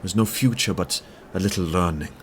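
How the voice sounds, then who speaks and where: An actor playing a poor, gentle, lovelorn professor, humble and earnest, speaking haltingly in an audio drama. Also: male voice, sits low, a little hiss or hum, natural voice.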